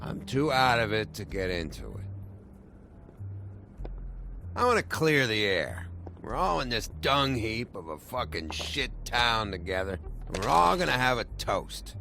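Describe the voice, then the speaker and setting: A bitter drunk from a video game, sarcastic and needling. The voice is gravelly with fry and swings around in pitch. Music plays behind it. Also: male voice, sing-song, measured pace, pushed and bright, exaggerated voice. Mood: sardonic, intoxicated. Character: bitter, drunk, foul-mouthed barfly man, needling and volatile.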